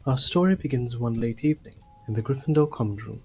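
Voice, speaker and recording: A man narrating a scripted audio drama as a measured, descriptive storyteller. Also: mid-range voice, animated, music in the background, natural voice.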